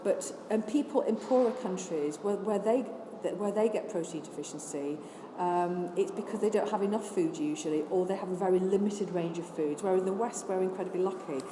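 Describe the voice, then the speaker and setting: Older woman from scotland, no accent left, slightly low voice, chatty and earnest, echoey room with hiss. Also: brisk, hardly any pauses. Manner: chatty, earnest, lists things off, many restarts. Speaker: interviewee, vegan charity worker. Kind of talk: casual interview answers.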